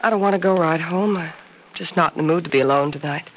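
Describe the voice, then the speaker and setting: An actress playing a wistful young nurse in a 1940s-style radio soap opera, on a noisy old recording. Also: female voice, sits low, fast talker, close to an everyday voice. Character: lonely, wistful young nurse, eager for news.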